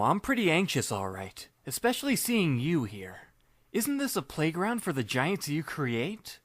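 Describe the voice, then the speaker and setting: A young man narrating in the first person, his mid-pitched voice dry and wry, with understated asides. The sound is close and dead, with no room behind it. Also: fairly bright tone, no room sound. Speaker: narrator and lead reader.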